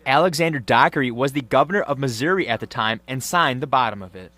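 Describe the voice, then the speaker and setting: A man's voice: medium pitch, expressive, fast talker, fairly bright tone. A man working as a TV news reporter, delivering scripted broadcast narration and live reports.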